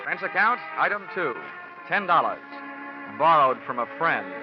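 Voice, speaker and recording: An actor playing a dry, sardonic freelance insurance investigator in a 1940s radio detective drama. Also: male voice, a little high, animated, normal speed, natural voice. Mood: dry, wry. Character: wry, wisecracking male freelance insurance investigator. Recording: muffled 1940s radio broadcast, music behind.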